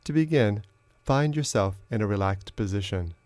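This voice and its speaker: Male meditation teacher, fairly low register, measured instructional delivery with generous pauses, very dry recording. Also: expressive, a little mellow, no echo. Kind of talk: guided meditation narration.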